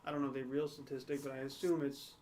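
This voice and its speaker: A man's voice: medium pitch, flat delivery, fast talker, darkish tone, a little hiss or hum. A young man co-hosting a podcast, talking things through in a thoughtful, hedging way, often checking in with "right?". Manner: thoughtful, hedging, frequent "right?" and "you know". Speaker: podcast co-host. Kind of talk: casual discussion.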